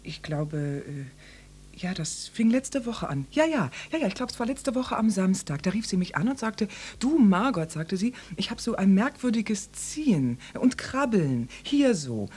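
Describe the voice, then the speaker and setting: A woman's voice: medium pitch, big pitch swings, unhurried, a little mellow, slightly rough. An actress playing a naive, obliging housewife in her thirties in a radio drama, flustered and hesitant, with a noisy hum behind her. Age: 30s. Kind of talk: German radio drama acting.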